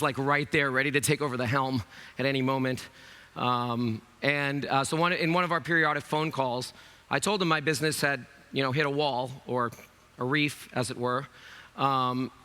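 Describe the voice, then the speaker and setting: A man in his fifties with a slightly raspy voice hosts a keynote from a large conference stage. His sound is bright and forceful. He talks in a relaxed, chatty way and leans on fillers as he goes. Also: mid-range voice. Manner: loose and chatty, with many fillers. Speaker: keynote host.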